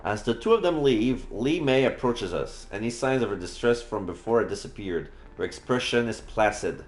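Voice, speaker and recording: A man's voice: medium pitch, lively intonation, normal speed. A man reading a visual novel aloud on a livestream, casually adding his own reactions as he goes. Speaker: live streamer. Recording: echoey room.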